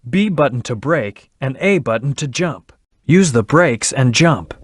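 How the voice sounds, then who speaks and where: A man's voice: mid-range voice, expressive, measured pace, no echo, studio quality. A man voicing a brooding, terse hedgehog antihero in a video game, with a serious, clipped, commanding delivery. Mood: brooding, measured. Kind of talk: video game character voice lines.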